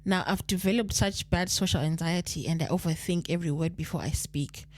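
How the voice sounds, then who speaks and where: A woman's voice: on the low side, a little fast. A Zimbabwean woman co-hosting a podcast, chatting casually and reading a listener letter, slipping into Shona now and then. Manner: relaxed, repeats phrases, mixes Shona into English. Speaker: podcast co-host.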